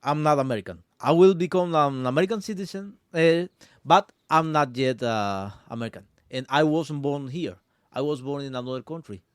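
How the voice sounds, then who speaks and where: A Cuban man speaks English as a second language, his Spanish accent plain in every phrase. His voice is smooth and resonant, recorded close and dry with no room sound. He talks earnestly and haltingly, stopping to hunt for the right word. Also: on the high side, no room sound. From Cuba. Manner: halting, searches for words, earnest. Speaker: podcast guest. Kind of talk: casual conversation. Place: aboard a sailboat.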